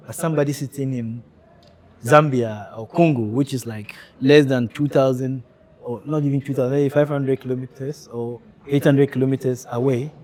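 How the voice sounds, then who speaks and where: Measured male podcast host, dark tone. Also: mid-range voice. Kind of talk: conversational interview.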